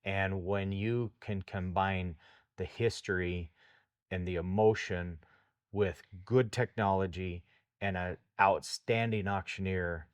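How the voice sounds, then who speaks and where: A man's voice: sits low, fairly even intonation, slow pace, clean tone, dry recording, studio quality. A man hosting a farm talk show, chatting in a plainspoken, folksy way. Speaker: host. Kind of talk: casual radio talk.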